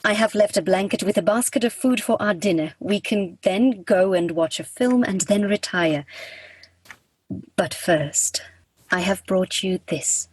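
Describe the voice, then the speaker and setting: A woman playing an awkward, husky Grim Reaper. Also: mid-range voice, measured pace, dry recording. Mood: earnest, unsure. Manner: stiff, formal, literal phrasing. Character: awkward, earnest, formal female Grim Reaper in love. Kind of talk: radio play acting.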